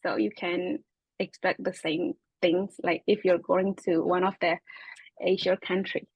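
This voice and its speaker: A woman's voice: on the low side, little pitch movement, a little slow, slightly rough, dry recording, highs cut off. A young woman from Myanmar, a Chin speaker with a Burmese accent, answering interview questions hesitantly with many fillers. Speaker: international graduate student.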